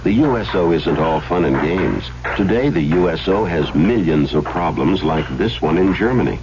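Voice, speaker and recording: A man's voice: low voice, lively intonation, normal speed. A man reading an earnest, emphatic 1970s public service appeal on a noisy, muffled radio recording.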